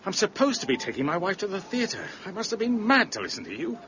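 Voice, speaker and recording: High-pitched, pompous police prefect. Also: male voice, sing-song, fast talker. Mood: irritated. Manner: pompous, irascible. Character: blustering, vain, credit-grabbing male prefect of police. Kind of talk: radio drama acting. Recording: dull lo-fi audio with clear background noise.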